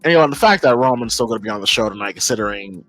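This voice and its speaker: A man's voice: lowish voice, animated, rapid-fire, a bit bright, faint music behind, low-quality recording. An American man giving casual, emphatic opinion commentary as a podcast host, informal and often repeating phrases as he thinks aloud.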